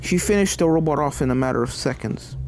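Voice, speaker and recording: A woman's voice: deep voice, fast talker, darkish tone, faint music behind. A woman reading a scripted story aloud as a dramatic narrator, telling the tale in character-driven prose. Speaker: fan fiction narrator.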